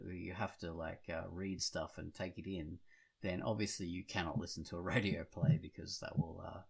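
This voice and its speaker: Low-voiced man chatting on a podcast. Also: gravelly, breathy edge. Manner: rambling, thinking aloud. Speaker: podcast host. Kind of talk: casual podcast chat.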